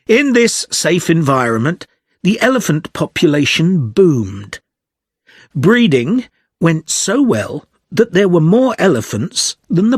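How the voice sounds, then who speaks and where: Lively-pitched male student presenter. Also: slightly rough, no room sound, clean studio sound, exaggerated voice. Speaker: actor in an exam listening recording.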